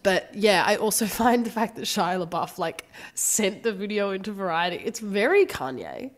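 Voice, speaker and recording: A young woman co-hosts a casual podcast chat, speaking with a sore, scratchy throat. She talks in a loose, gossipy way, full of quick asides and the odd swear, at an ordinary middle pitch. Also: animated. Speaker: podcast co-host.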